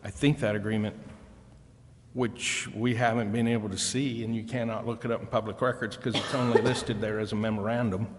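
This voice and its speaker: A man questioning as a council member at a formal public meeting, with halting, roundabout explanations full of pauses. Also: lowish voice, level tone. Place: council chamber. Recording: echoey hall with long reverb.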